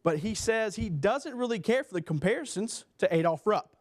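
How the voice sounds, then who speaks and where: A man's voice: sits high, expressive, normal speed. A young man reading a scripted sports newscast as a TV anchor. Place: small TV news studio. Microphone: lapel mic.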